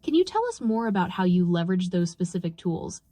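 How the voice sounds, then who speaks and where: A woman's voice: very animated, brisk, warm tone, dry recording. A woman interviewer speaking politely and formally, with affirming remarks and questions that sound scripted. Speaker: interviewer, AI reporter. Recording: noisy recording with vehicle-like rumble.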